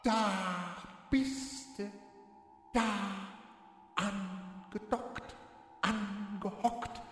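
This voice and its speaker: A woman's voice: mid-range voice, steady tone. An actress playing a cryptic female guide who chants riddles in a repetitive, incantatory radio-play style. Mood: incantatory, welcoming. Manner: repetitive, incantatory, deliberate wordplay. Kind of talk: experimental radio play, rhythmic chanted speech. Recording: reverberant hall with music. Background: music.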